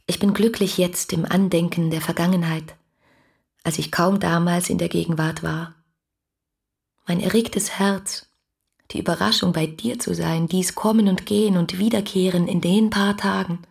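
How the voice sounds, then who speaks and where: Casting: lovestruck young woman for radio play, breathy husky voice, rapturous letter-reading that moves between hushed intimacy and exclamation. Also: on the low side, steady tone, normal speed. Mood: tender, hushed. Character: ardent young woman writing adoring love letters.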